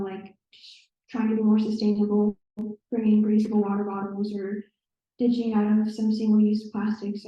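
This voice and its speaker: A young woman, a college student on a panel, explains her view in a clear, organized way. Her voice sits in a middle register, and the recording is dull and full of room echo. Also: mid-range voice. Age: young adult. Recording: echoey room, dull sound.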